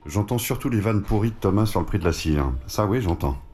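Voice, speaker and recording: A dry-witted, grumbling French barber, played by a male actor in an audio drama. His voice sits fairly low and swings widely in melody as he needles his clients. Clear background noise surrounds him. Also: animated, brisk, darkish tone, lightly acted. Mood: dry, annoyed. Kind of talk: naturalistic audio fiction dialogue.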